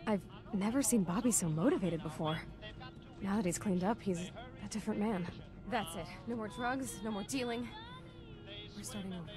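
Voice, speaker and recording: A woman's voice: mid-range voice, moderate pace, close to an everyday voice. A woman playing a weary, tough young vault dweller in a video game, blunt and informal as a protective sister. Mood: relieved, determined. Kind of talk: video game dialogue acting. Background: game music.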